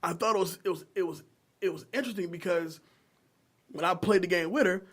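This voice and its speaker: A middle-aged American man hosting a casual podcast, telling stories in an animated, slangy, profane way. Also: high-pitched, brisk. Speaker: podcast host.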